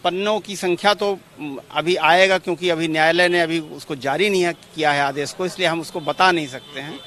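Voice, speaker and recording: A man's voice: fairly high pitch, steady tone, fairly quick, a bit bright. A man, a lawyer giving formal, repetitive explanations in a press interview. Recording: outdoor street noise behind.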